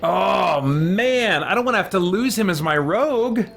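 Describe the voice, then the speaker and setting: A man's voice: sits high, animated, measured pace, gravelly, a bit bright. A man hosting casual let's play commentary, thinking aloud with mild exclamations as he plays. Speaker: gaming channel host and player. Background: game music.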